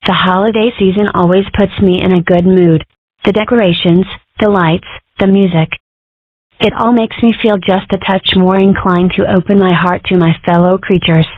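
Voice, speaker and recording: A woman's voice: medium pitch, steady tone, normal speed, dry recording, close to an everyday voice. A woman voicing a sarcastic, rebellious young furry schoolgirl in a scripted comic-strip dub. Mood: sardonic. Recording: muffled, phone-like narrow band.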